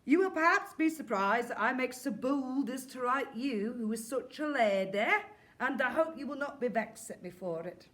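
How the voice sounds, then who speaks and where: A woman's voice: high voice, expressive, moderate pace, a bit bright. A woman voicing a timid, earnest middle-aged farmer, hesitant and humble, in a staged comic letter reading. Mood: timid, hopeful. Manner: hesitant, humble, plain-spoken rustic.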